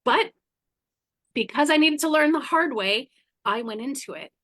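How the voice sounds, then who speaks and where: The animated guest on a spiritual podcast, a woman talking bluntly about her own life in a dry, close-sounding studio. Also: high-pitched, moderate pace, no room sound. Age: 40s. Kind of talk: candid personal storytelling in conversation.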